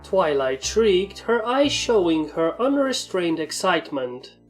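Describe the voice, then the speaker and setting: A woman's voice: on the low side, sing-song, slow. A woman reading scripted story narration as the narrator of a fan fiction tale. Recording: music behind the voice.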